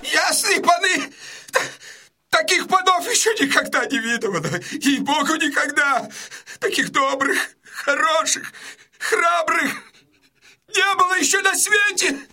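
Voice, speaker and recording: An actor playing a frightened, wheedling merchant in a dramatised audiobook, wailing and gushing in repeated exclamations. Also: male voice, very high voice, lively intonation, measured pace, pushed and bright, heavily acted. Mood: pleading flattery.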